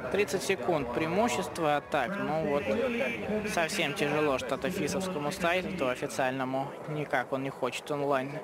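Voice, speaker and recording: A man giving live sports commentary in a relaxed, conversational way, often pausing to think aloud. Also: on the high side, over a noisy crowd.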